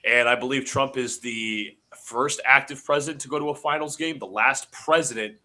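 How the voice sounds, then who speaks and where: A man's voice: mid-range voice, normal speed. A man hosting a live stream, calling basketball play-by-play and chatting with his co-host, sounding congested from allergies. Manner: excitable, shouts on big plays. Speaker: livestream host doing play-by-play. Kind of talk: live watch-along play-by-play commentary.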